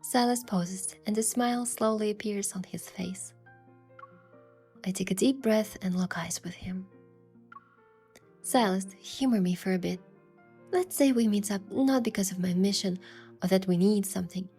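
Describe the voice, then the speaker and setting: A woman plays a young romance-game heroine, sincere and tender with a playful side. She tells her story in first person, soft and measured, at a middle pitch, with expressive delivery and music faintly behind her. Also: close to an everyday voice. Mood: earnest, tentative. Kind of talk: scripted first-person story narration and dialogue.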